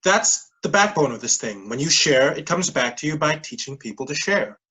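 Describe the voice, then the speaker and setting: An actress in a staged reading playing an earnest true believer in a scheme. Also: female voice, deep voice, expressive, moderate pace, fairly bright tone, rough recording.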